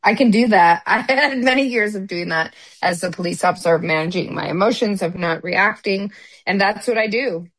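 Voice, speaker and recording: A woman's voice: mid-range voice, moderate pace, fairly bright tone, no room sound, rough recording. A middle-aged woman speaking bluntly and emphatically in a candid podcast chat, often restarting her sentences.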